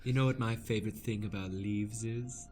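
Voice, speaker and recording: A man's voice: lowish voice, fairly even intonation, normal speed, darkish tone, soft background music, lightly acted. A man playing a coy, haughty, scheming lady on a date in an improvised radio play. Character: Matilda, scheming seductive wealthy lady on a date.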